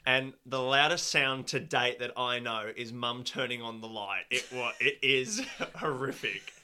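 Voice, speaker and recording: A young man hosting a casual interview, relaxed and laughing easily. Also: mid-range voice, measured pace, clean tone. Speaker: podcast host.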